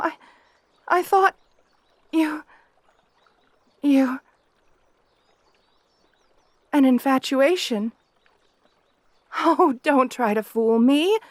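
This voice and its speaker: A woman's voice: high-pitched, no echo, studio quality. A woman playing a shy, devoted young princess in a romantic ASMR roleplay, hesitant and deferential, often stammering. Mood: flustered, surprised, then incredulous, unsure.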